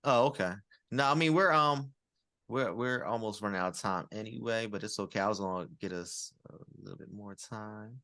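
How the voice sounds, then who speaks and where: Man, podcast host, casual, filler-heavy, lowish voice, dry sound. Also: normal speed, sharp tone, no echo. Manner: laid-back, lots of fillers, hypes the crowd. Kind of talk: casual interview chat.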